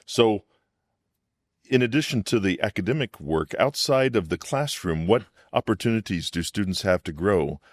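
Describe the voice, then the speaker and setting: A man hosting an interview, asking questions in a measured, encouraging way. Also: fairly low pitch, animated, no echo. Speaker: podcast host, professor.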